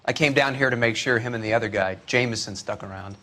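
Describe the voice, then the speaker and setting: A man's voice: mid-range voice, fast, pushed and bright, lightly acted. A man playing a surly railyard policeman in a video game, talking bluntly.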